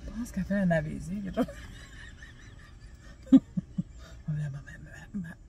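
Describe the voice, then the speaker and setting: A woman's voice: on the low side, animated, normal speed. Brief: playful Quebec woman, 40s, co-host, big laughs, phone mic in a noisy car. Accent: Quebec French. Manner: playful, teasing, laughs loudly. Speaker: travel vlogger. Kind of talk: casual chat. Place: parked car. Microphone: phone camera on the dashboard. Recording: car with clear background noise.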